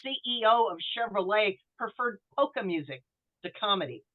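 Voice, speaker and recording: Phone-like narrow sound, female author guest, medium pitch, halting delivery, casual explanation. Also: expressive. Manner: halting, searching for words. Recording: thin, phone-like call audio.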